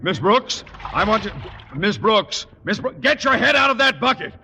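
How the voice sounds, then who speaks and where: An actor playing a nervous, self-pitying middle-aged school principal, stammering and blustering in 1950s radio comedy style. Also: male voice, high voice, very animated, normal speed, gravelly, bright, forceful tone, heavily acted. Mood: frantic, exasperated. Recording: very noisy, dull old radio recording.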